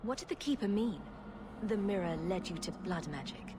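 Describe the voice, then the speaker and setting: A woman's voice: medium pitch, expressive, moderate pace, close to an everyday voice. A woman playing a wry, direct rogue adventurer in a video game cutscene, with a sardonic way of talking. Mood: questioning. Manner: sarcastic, direct. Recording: noisy game ambience behind.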